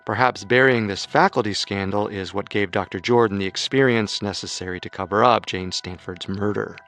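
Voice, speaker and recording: A man narrating a scripted true-crime story as co-host, measured, with dramatic storytelling emphasis. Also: on the low side, a bit bright.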